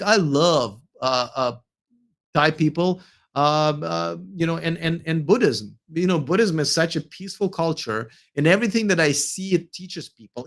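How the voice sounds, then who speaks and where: A man from Russia with a Russian accent, hosting a late-night live stream. He reads viewer comments and answers them, sounding tired, with many fillers, restarts and hesitations. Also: fairly high pitch.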